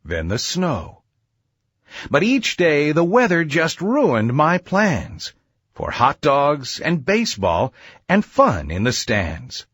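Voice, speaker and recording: A man's voice: a little high, wildly swooping pitch, slow pace, a bit bright, slightly rough, no room sound, clean studio sound. A man narrating a rhyming children's story in a theatrical, sing-song verse delivery.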